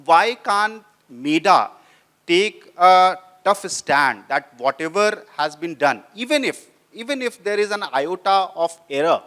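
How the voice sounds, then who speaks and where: Hoarse man, pressing question. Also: sits high, expressive, unhurried, fairly bright tone. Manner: pointed, increasingly insistent questioning. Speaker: audience member asking a question. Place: conference hall audience. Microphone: speaking without a microphone.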